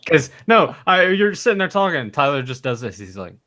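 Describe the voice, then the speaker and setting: A man's voice: fairly high pitch, sing-song, brisk, sharp tone, dry recording. A man hosting a casual podcast chat, with a joking, teasing manner. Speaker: podcast host.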